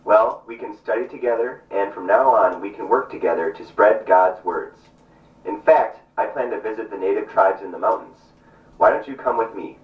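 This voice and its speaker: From far off in an echoey room comes a man acting an earnest, devout missionary doctor from a puppet play. The voice is low and he declaims formally. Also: normal speed, lightly acted. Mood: warm, resolute. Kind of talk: stage puppet show acting. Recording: distant, roomy, muffled stage recording with music.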